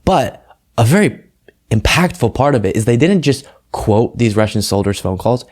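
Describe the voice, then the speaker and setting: A man's voice: medium pitch, expressive, normal speed, darkish tone. An American man hosting a political commentary show, talking in an earnest, emphatic and conversational way. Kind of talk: casual political commentary, reading translated quotes aloud.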